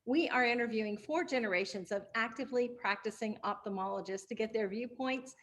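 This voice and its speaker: A woman's voice: on the high side, fairly quick, fairly bright tone. A woman hosting an interview series, asking questions in a chatty, friendly way. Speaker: interviewer host. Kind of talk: casual interview.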